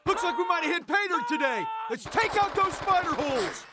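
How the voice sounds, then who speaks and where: An actor playing a tough, gruff Marine squad leader in a war video game, barking profane orders in military slang. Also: male voice, extremely high pitch, expressive, a little fast, very noisy. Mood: firm, commanding.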